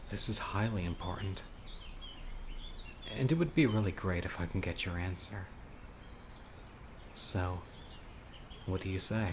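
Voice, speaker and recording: A dying, devoted yandere witch, played by a man in an audio roleplay. His voice sits fairly low and moves very slowly, with deliberate, intimate pleading. Insects and birdsong are clearly audible behind him. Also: noisy background. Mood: apologetic, coaxing. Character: obsessive, dying young male witch, devoted yandere lover. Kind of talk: audio roleplay, dramatic monologue to listener. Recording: outdoor nature ambience, crickets and birds.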